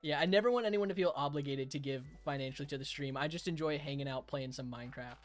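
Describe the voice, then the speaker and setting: A hoarse young man live-streaming a game, chatting casually with viewers, chuckling and thanking them. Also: medium pitch, fast talker, faint music behind. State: hoarse, raspy throat.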